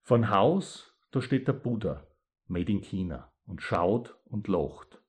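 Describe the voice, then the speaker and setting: A man from East Styria with an East Styrian Austrian accent, a poet reading his dialect poems and then talking about them thoughtfully, with pauses. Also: lowish voice, expressive. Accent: East Styrian Austrian German.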